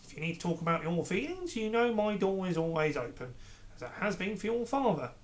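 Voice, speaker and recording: A narrator voicing a scheming male royal adviser who speaks slowly and soothingly. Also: mid-range voice, very animated, natural voice. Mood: falsely soothing. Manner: slow, measured, falsely soothing. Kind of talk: storybook character reading.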